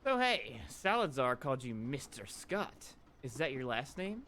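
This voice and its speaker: A man's voice: medium pitch, sing-song, a little slow, sharp tone, heavily acted. A man voicing a chatty, sarcastic young woman in a comedic game fan dub, full of questioning banter. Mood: curious, teasing. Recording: background noise.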